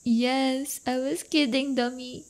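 Woman acting a slow-talking, teasing delinquent girlfriend. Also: sits high, little pitch movement. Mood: playful mocking. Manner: teasing, coaxing, drawn-out delivery. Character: teasing, playful teenage delinquent girlfriend, crafty but affectionate. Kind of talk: intimate roleplay audio acting.

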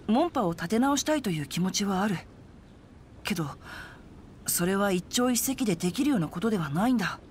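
A woman's voice: mid-range voice, slight background noise, close to an everyday voice. A woman voicing a refined, chivalrous teenage boy swordsman in a Japanese game dub, polite and composed. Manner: polite, composed, literary phrasing. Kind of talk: Japanese anime-style game dub acting.

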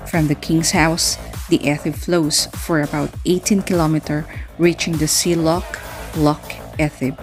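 A woman reading a narration in an even tone, with small slips. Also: sits low. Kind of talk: read-out narration.

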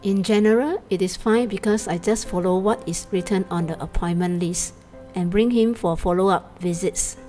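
Matter-of-fact woman caregiver, warm tone. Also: mid-range voice, normal speed, soft background music. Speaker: caregiver, wife. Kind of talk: interview testimony.

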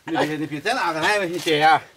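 Brief: young man, brisk high-ish voice, barking orders outside on a dirt track, noisy outdoor camera sound. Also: a bit bright. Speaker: log-loading worker. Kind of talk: shouted work instructions. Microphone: camera some distance away. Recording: outdoor camera audio with noise.

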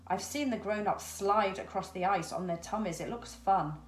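A woman's voice: mid-range voice, moderate pace, close to an everyday voice. A woman voicing an eager, curious young male penguin chick in a storybook, full of keen questions and exclamations. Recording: roomy echo.

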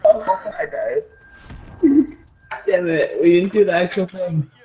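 A man's voice: sits high, slow pace, darkish tone. A man gleefully exclaiming through casual gaming chat on a headset. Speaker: gamer.

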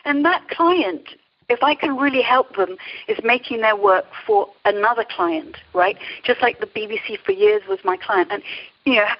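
A woman's voice: fairly high pitch, sing-song, moderate pace. A middle-aged woman speaking conversationally as a guest in a podcast interview, over a phone-like remote line. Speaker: interview guest, creativity coach.

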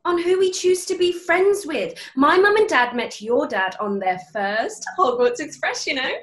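A woman's voice: sits high, lively intonation, a little slow. A young actress playing a confident, bossy eleven-year-old girl, assertive and precise, in a stage play table read on a video call. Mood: assured. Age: young adult. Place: plain room at home, video call.

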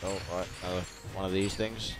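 A deep-voiced man chats casually through a video game he is hosting. He is playful, tossing out exclamations and vocal sound effects, with game music playing strongly behind him. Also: little pitch movement, fairly quick. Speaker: gaming video host. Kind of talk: casual let's-play commentary.

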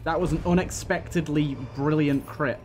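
A man's voice: fairly high pitch, fairly even intonation, moderate pace. A man giving casual gameplay commentary, thinking aloud and talking to the game's characters. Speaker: gaming video host.

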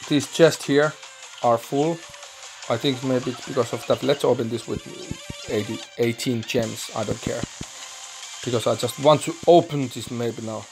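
A young man from Finland, speaking English with a Finnish accent as his second language, commenting excitedly and swearing while recording a mobile game. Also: mid-range voice, moderate pace, vocal fry, soft background music. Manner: swearing, excitable, thinking aloud, broken English. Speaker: gaming channel host.

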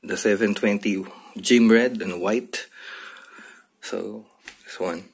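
A man chatting casually about sneakers in a low-key, halting way, recorded on a phone in a bedroom. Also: vocal fry, husky. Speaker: sneaker reviewer. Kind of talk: casual unboxing chat. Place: small bedroom, indoors.

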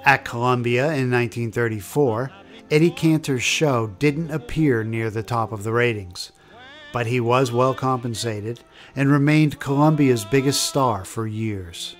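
A man's voice: medium pitch, a little slow. Male documentary narrator over music. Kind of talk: scripted narration.

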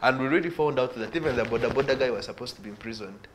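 An earnest young man with a Ugandan accent and a middle-pitched voice recounts his points on a studio panel. A lapel mic picks him up over a faint hiss. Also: rapid-fire, poor audio. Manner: earnest, illustrates points with hand gestures. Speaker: studio guest, youth organisation director.

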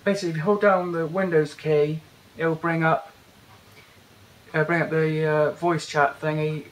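Young British man, slightly high, flat delivery, halting with lots of fillers; casual gadget walkthrough recorded indoors on a camera mic. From Britain. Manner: hesitant, many fillers and restarts. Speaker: tech reviewer. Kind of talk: casual hands-on product walkthrough. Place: indoors, bedroom.

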